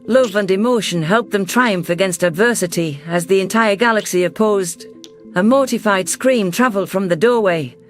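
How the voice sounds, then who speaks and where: A man takes on a caring female alien leader telling a science fiction story in the first person. The voice is pitched high, gentle and reflective, with quiet music underneath. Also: moderate pace, lightly acted. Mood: tender. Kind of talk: first-person sci-fi story narration and dialogue.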